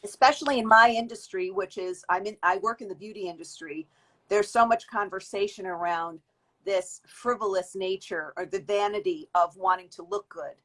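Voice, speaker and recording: A woman's voice: medium pitch, moderate pace, fairly bright tone, low-quality recording. A woman in her fifties, a guest in an interview, giving long reflective answers with frequent restarts. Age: 50s. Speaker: guest, beauty industry worker.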